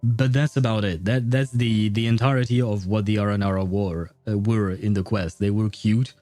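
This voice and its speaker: A male streamer with a soft, dark timbre chats to viewers in non-native English. He rambles politely and hesitantly, in a self-deprecating way. The sound is close and dry, with faint music underneath. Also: fairly low pitch, steady tone, moderate pace, dry recording. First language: not English. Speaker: livestreamer. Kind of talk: casual livestream chat with viewers over gameplay. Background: faint game music.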